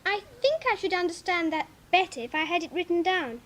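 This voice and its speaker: A woman's voice: very high voice, expressive, fairly quick, fairly bright tone. A female actor playing a polite, curious young girl in a storybook drama, with a prim manner. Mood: earnest.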